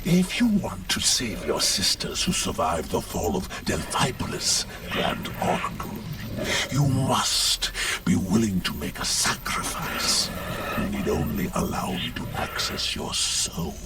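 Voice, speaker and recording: A huge, menacing dragon lord from a video game, speaks with deliberate slowness and cold command. The voice is deep and swings widely, under very loud music. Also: male voice, huge pitch swings, far from the mic, exaggerated voice. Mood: menacing bargaining. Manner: slow, imposing, deliberate. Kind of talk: video game cutscene acting.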